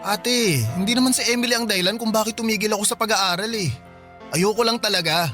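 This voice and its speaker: A man's voice: high-pitched, fast talker, bright, forceful tone, soft background music. A man playing a sulky young man who dropped out of college, whiny and defensive with his older sister, in a radio drama. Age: young adult.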